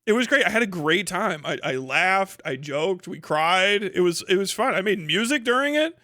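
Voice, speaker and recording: Higher-voiced man streaming, sweary and mocking. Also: lively intonation, moderate pace, bright, forceful tone, no echo, studio quality. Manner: often breaks into laughter. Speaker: livestream host. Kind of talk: casual livestream commentary, reading posts aloud.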